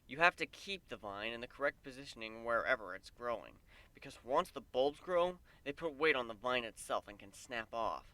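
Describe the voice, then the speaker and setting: A man's voice: mid-range voice, animated, normal speed, bright, forceful tone, dry recording. A man playing an earnest, easygoing male pegasus in a fantasy story, talking with a mild grumble.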